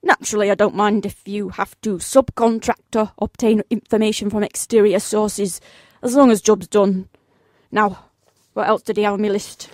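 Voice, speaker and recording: A woman's voice: mid-range voice, steady tone, moderate pace, darkish tone, dry recording, clean studio sound, lightly acted. A British woman playing a genteel, unflappable office boss in a comic radio play, polite, breezy and formally businesslike. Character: genteel, unflappable female office boss making absurd requests.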